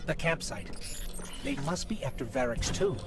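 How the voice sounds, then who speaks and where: An actor playing a brisk, concerned robot companion who gives urgent mission dialogue in a game. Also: male voice, medium pitch, expressive, natural voice. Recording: music behind.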